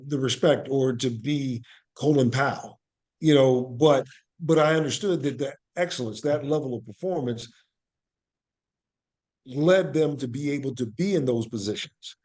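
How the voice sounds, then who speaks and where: A man's voice: mid-range voice, a little mellow. A man speaks as a guest in a conversational interview, with a mid-range voice. He explains things earnestly and reflectively, repeating phrases to drive his points home. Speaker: interview guest, actor and playwright.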